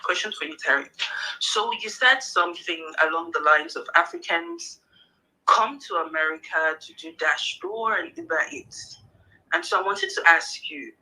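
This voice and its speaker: Woman, from Cameroon, live caller, persistent questioning, bright lively voice. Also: deep voice, normal speed, rough recording. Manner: persistent, keeps pressing her question. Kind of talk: live call-in argument.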